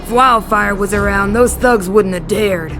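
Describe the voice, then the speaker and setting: A woman's voice: mid-range voice, unhurried, natural voice. A woman voicing an earnest, somewhat hesitant young female miner in a video game. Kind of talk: anime-style video game voice acting. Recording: loud game music underneath. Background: game cutscene music.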